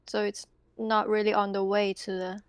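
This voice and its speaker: A woman playing a tabletop game, chatting casually in a halting, questioning way. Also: mid-range voice, little pitch movement, smooth, no echo.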